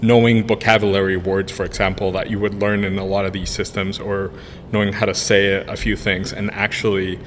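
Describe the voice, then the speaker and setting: A man's voice: sits low, level tone, brisk. A man in his thirties whose first language is Spanish, answering interview questions in a rambling way with many fillers and restarts. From Spanish-speaking country, moved to the US in 1999. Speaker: podcast guest, brewery co-owner. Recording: room with long reverb, faint noise.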